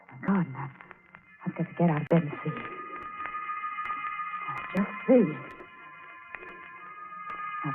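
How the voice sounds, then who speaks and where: Actress as a haunted, stammering wife, tinny old radio. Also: female voice, medium pitch, natural voice. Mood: fearful, dazed, then tense. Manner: halting, stammering, breathlessly dramatic delivery. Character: anxious, haunted wife slowly unravelling with dread. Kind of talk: 1940s radio thriller acting. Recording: tinny vintage radio recording with music.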